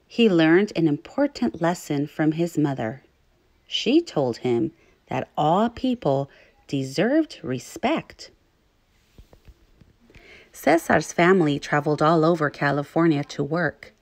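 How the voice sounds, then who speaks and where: A woman teacher reading aloud to young children, phrasing things clearly and putting questions to her listeners. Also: lowish voice, a little mellow, no echo. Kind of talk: children's read-aloud narration.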